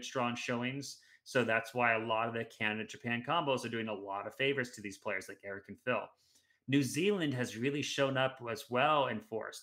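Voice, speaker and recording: A man in his thirties talks through sports standings in a casual, chatty way, often restarting his phrases. His voice is bright and crisp at an average pitch. He speaks into a headset at home. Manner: talkative, analytical, restarts mid-phrase. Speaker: podcast guest.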